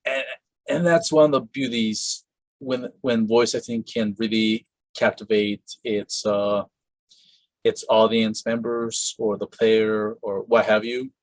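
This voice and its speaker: A man hosts a casual interview, his voice low and his delivery unhurried. He rambles, restarts his sentences and leans on fillers. The mic is close and dry, with no room sound. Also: flat delivery, no echo, rough recording. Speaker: podcast host interviewing a voice actress.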